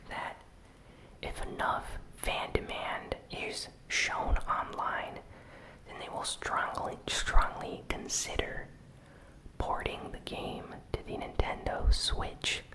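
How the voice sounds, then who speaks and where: Slow, hushed ASMR whisperer. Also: low-quality recording. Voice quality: soft unvoiced whisper. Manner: slow, deliberate, pausing between short phrases. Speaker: gaming news host. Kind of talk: ASMR gaming news recap.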